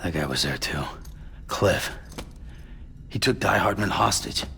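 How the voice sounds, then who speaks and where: A man playing a gruff, blunt, world-weary courier hero in a video game cutscene. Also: sits low, lively intonation, measured pace, bright, forceful tone, a little hiss or hum, heavily acted. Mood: wary, terse. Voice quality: gravelly. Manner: curt, blunt, swearing.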